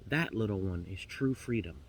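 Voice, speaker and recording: A man's voice: lowish voice, lively intonation, normal speed, slight background noise, pitched below the performer's own voice, natural voice, low-quality recording. Man voicing a solemn, free-spirited female raven. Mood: solemn, pitying.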